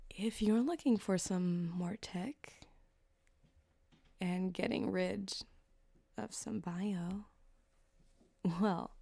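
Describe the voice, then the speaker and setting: A flirty, possessive female cyberpunk street surgeon played by a woman for an ASMR roleplay. Her voice is mellow and breathy, often near a whisper. She teases at an unhurried pace, very close and dry on the mic. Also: mid-range voice, no echo. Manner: teasing, unhurried, intimate, giggly. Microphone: close binaural microphone, dry.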